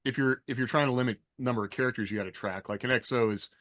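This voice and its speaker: A man's voice: medium pitch, fast, fairly bright tone. A man talking casually on a podcast panel, thoughtful and tentative as he weighs things up.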